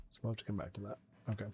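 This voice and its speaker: A man's voice: lowish voice, mellow, muffled recording, slight background noise. A man hosting a gaming channel, giving casual commentary as he plays. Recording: faint game music behind the voice.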